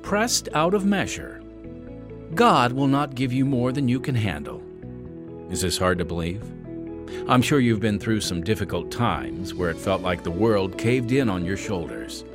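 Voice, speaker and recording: A man reading a scripted radio devotional in an earnest, measured preaching manner, with scripture. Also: fairly low pitch, expressive. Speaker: devotional host and narrator. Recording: music bed behind the voice.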